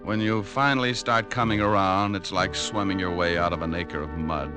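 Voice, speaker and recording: A man's voice: deep voice, fairly even intonation, moderate pace, close to an everyday voice. A man playing a hard-boiled private detective on an old radio show, narrating with dry, sardonic wisecracks. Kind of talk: 1940s radio acting with narration. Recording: old radio broadcast with music.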